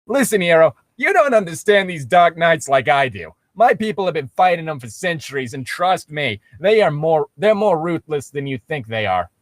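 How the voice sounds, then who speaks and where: A grim fantasy guide, played by a man, speaking with dramatic emphasis and a shaken edge. The voice sits high for a man, in a dry recording. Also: sing-song, normal speed, few pauses, dry recording. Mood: grim insistence.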